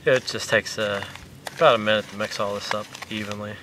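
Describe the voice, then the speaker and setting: A man in his thirties talks through a recipe in a relaxed, plain way, with a few fillers along the way. He sits outdoors by a lake, a few meters from the camera, so his voice sounds distant. Steady outdoor noise runs under his words. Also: on the low side, a little fast. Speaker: fishing vlogger. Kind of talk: casual how-to demonstration. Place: outdoors on a lakeshore. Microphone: camera mic from a few meters away.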